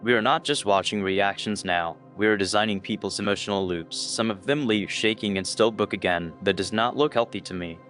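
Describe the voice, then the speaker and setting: A man playing an uneasy, conscience-stricken lab technician in an audio story, earnest and measured. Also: fairly low pitch, a bit bright, close to an everyday voice. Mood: troubled. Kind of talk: scripted audio story dialogue. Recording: music playing behind the voice.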